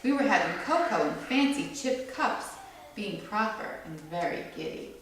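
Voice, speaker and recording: A woman reading a picture book aloud to children as a storyteller, with animated delivery that acts out the quoted lines. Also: mid-range voice, normal speed. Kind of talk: expressive read-aloud storytelling. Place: echoey indoor room with children listening. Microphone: far from the reader. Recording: distant mic, very echoey room, faint noise.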